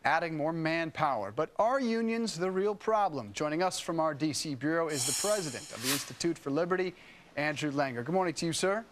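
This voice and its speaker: Punchy male morning news host, higher voice. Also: expressive, a little fast, noisy background. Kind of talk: morning news interview, presenting. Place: TV studio.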